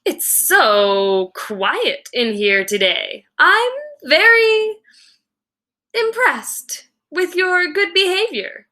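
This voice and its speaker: A controlling woman principal from a children's storybook, acted by a woman reading aloud. Her voice jumps high and bright as she addresses pupils with formal, forced cheer and measured pacing. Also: big pitch swings, exaggerated voice. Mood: stiffly pleasant.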